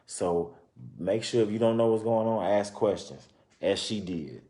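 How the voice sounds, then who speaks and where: A man's voice: on the low side, unhurried, mellow. A man reading a children's book aloud, breaking off into informal, conversational explanations and repeating points. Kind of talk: read-aloud with casual explanatory asides.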